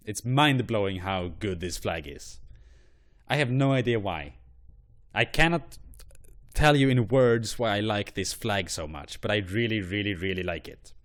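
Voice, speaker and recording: A man hosting an online show, giving blunt, profane and rambling opinions. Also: lowish voice. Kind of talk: ranting commentary on flags.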